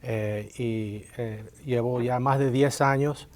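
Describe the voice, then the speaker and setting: A man's voice: medium pitch, steady tone, takes it slowly, a little mellow, a little hiss or hum. Hesitant man guest, Colombian-born, explains the law with frequent fillers. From Colombia, raised in Miami. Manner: hesitant, many filler sounds. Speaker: workers' compensation lawyer, guest. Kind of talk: interview answers.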